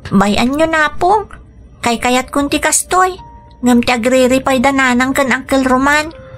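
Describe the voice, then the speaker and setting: An actress with an Ilocano Filipino accent playing a meek, forlorn teenage granddaughter in a radio drama, pleading and apologetic. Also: female voice, high voice, measured pace, fairly bright tone. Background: music.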